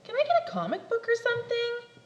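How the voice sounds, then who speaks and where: A woman's voice: very high voice, wildly swooping pitch, a little fast, roomy sound, lo-fi sound, voice pushed up, heavily acted. A woman voicing an impatient young male caterpillar who pleads and frets dramatically.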